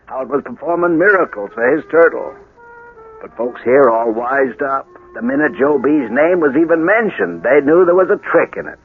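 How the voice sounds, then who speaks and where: An American actor playing a folksy, worried small-town deputy sheriff who recounts a tale in a radio drama. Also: male voice, medium pitch, lively intonation, normal speed, faint music behind, natural voice. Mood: folksy, wry. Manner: folksy, colloquial storytelling. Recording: lo-fi old radio broadcast.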